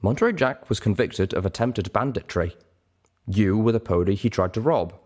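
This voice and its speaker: Man playing a formal, low-voiced stallion clerk. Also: lively intonation, moderate pace, darkish tone. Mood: formal, frank. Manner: formal, matter-of-fact, wordy. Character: gentle male pony legal clerk, dry and formal.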